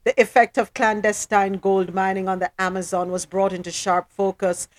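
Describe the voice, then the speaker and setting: A woman hosting a current affairs talk show, speaking in a measured, deliberate way as she comments and reads reports. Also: medium pitch, flat delivery. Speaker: host. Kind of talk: news discussion, commentary and reading reports.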